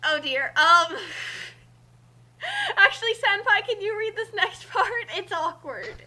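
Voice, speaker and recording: A woman's voice: extremely high pitch, very animated, slow pace, bright, forceful tone. A woman giving a theatrical, exclamatory comedic reading of a story as co-reader. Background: music.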